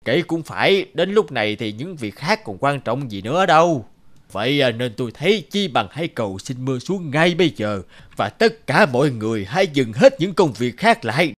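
A man voicing an excitable, emphatic villager from a drought-stricken hamlet in an animated fairy tale. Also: mid-range voice, normal speed. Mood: earnest urging. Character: drought-stricken villagers, male, pleading and quarrelsome. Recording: loud music under the voice. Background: loud music.

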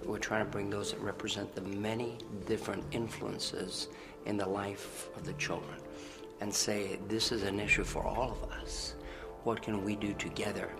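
A man's voice: fairly low pitch, flat delivery, normal speed, gravelly. A man speaking earnestly in a documentary interview from a played video. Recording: video with loud music bed. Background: loud background music.

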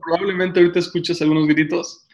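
A man's voice: a little high, flat delivery, fast talker, muffled recording. A man with a Mexican accent, chatting casually as an interview guest. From Mexico City, Mexico. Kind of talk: casual chat. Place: at home.

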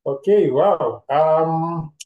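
A man with a Kenyan accent telling his story as an interview guest, deliberate and reflective, often repeating phrases. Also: on the high side, phone-quality sound. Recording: roomy, echoing sound.

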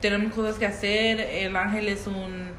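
A woman's voice: mid-range voice, flat delivery, normal speed, a bit bright. A young Mexican-American woman with a mid-range voice chats easily and flips between Spanish and English. She is heard in a roomy echo with a faint background hiss. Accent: Mexican-American Spanish, Spanglish. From United States. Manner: chatty, laughs easily, switches into English. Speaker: podcast co-host. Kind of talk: casual bilingual chat. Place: workplace.

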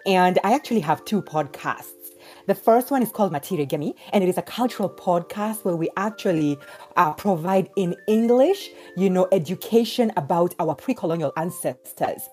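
A woman from Kenya talks on a live panel, articulate and earnest. Her voice is mellow and slightly low, with faint music underneath. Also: lively intonation, normal speed. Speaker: podcast host, panelist. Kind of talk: explanatory panel talk. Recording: live audio-app chat, faint music.

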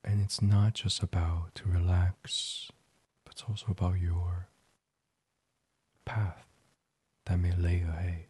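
Very slow, deep, hushed man. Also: level tone. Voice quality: breathy, hushed. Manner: gentle, soothing, half-whispered. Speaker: guided meditation host. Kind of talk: ASMR sleep meditation.

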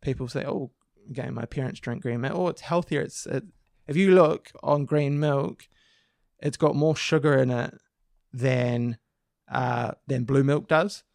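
A man co-hosting a podcast with a croaky voice, explaining things at length in a casual chat with frequent restarts. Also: medium pitch, measured pace, darkish tone, no room sound, clean studio sound. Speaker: podcast co-host. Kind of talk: casual discussion.

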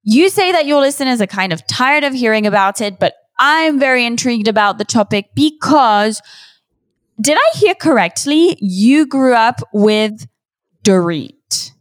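A woman's voice: fairly high pitch, animated, unhurried, no room sound. A woman in her thirties with a mixed, British-sounding accent, hosting a podcast and asking blunt, eager questions with plenty of swearing. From outside the US. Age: 30s. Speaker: podcast host. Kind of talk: casual interview chat.

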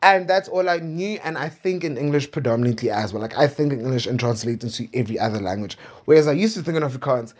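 A man's voice: medium pitch, expressive, fast talker, few pauses, slightly rough, highs cut off. A South African man in his twenties hosting a personal diary podcast, talking casually and candidly in a conversational way with playful asides. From South Africa, suburban Centurion. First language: Pedi. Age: 20s. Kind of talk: casual confessional monologue.

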